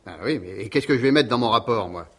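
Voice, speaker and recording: A man's voice: medium pitch, animated, fast talker, natural voice. Male actor as earnest village brigadier in a French radio mystery. Mid-range voice, hesitant, courteous, exasperated. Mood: flustered, concerned. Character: earnest, well-meaning, flustered village gendarme brigadier.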